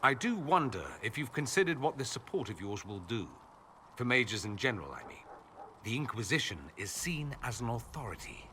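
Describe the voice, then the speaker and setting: An actor playing an urbane, sardonic foreign mage in a video game, with dry, theatrical wit. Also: male voice, mid-range voice, big pitch swings, normal speed, bright, forceful tone, a little hiss or hum. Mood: wry, cautioning. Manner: witty, dry, theatrical sarcasm. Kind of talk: video game dialogue acting.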